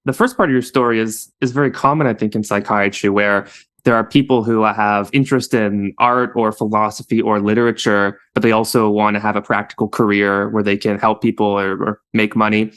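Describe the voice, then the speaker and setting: A man's voice: fairly low pitch, steady tone, brisk, fairly bright tone, clear, resonant tone. Man hosting a podcast interview. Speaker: podcast host.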